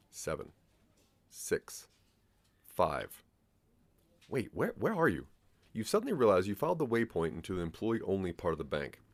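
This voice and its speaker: A man giving a dramatic, scripted second-person story narration in an expressive storytelling manner. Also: on the low side.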